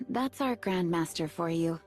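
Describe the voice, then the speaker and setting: A woman voicing a dutiful, gentle and dignified knight commander in a video game, measured and formal. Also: lowish voice, animated, faint music behind.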